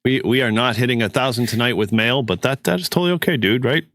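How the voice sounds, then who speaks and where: A man whose voice is raspy and hoarse from a cold, talking in a casual, rambling podcast manner with plenty of fillers. He sits at a middling pitch. The room is dry, with no echo. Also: fast, fairly bright tone. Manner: rambling, loose, with many fillers. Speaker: podcast co-host.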